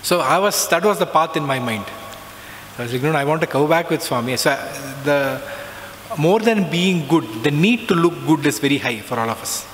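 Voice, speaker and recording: A man's voice: a little high, expressive, normal speed, fairly bright tone. Man recounting a memory in a hall. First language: not Hindi. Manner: reflective storytelling, frequent uh and so. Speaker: alumnus giving a talk. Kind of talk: personal spiritual talk. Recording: long reverb, faint background noise.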